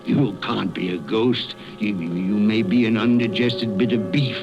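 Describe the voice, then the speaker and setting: A man's voice: lowish voice, a little slow. An actor playing a miserly, cold-hearted old businessman, skeptical and fearful, in a theatrical, blustering radio drama style. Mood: scornful disbelief. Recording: dull lo-fi recording with music behind.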